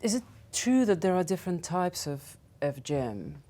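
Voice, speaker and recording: A woman interviewer with a very low-pitched voice. She asks short questions in a plain, measured way. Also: big pitch swings, dark tone.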